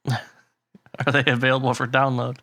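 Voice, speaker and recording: A man with a bright, forward voice co-hosts a casual music podcast. He talks bluntly and laughs often. The sound is dry and close to the mic. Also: mid-range voice, completely flat delivery, takes it slowly, dry recording. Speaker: podcast co-host. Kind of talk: casual chat.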